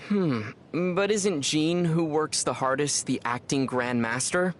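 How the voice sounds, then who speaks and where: A man's voice: fairly high pitch, unhurried, a little hiss or hum, close to an everyday voice. A man voicing a young, curious, mild traveler from another world in a video game, musing and understated, with music behind. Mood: thoughtful, curious. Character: young male otherworldly traveler, curious, mild, dryly puzzled. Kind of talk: video game character dialogue.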